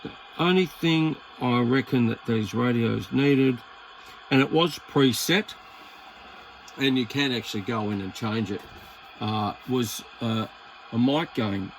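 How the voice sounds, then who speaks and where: A man's voice: medium pitch, measured pace, slight background noise, rough recording. A man with an Australian accent chatting casually and hesitantly as he presents a hobby video, rambling in a laid-back way. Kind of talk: casual chat while demonstrating equipment.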